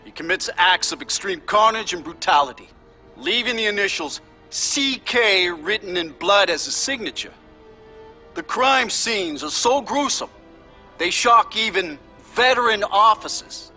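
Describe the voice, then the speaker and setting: A grave TV newswoman in a video game, delivering a formal report slowly and deliberately. Her voice is bright and forceful, over faint music. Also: mid-range voice, animated. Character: grave female television news reporter.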